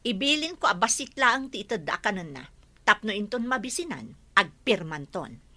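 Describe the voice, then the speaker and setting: A woman's voice: medium pitch, big pitch swings, moderate pace, bright, forceful tone, no room sound. A woman playing a domineering, greedy older aunt in an audio drama, with a bossy, commanding delivery. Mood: plotting.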